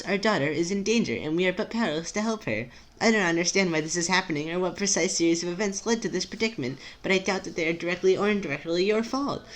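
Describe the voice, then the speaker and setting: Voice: mid-range voice, voice pushed up, natural voice. An amateur reader voicing a poised, anxious mother who speaks in formal, precise, wordy sentences.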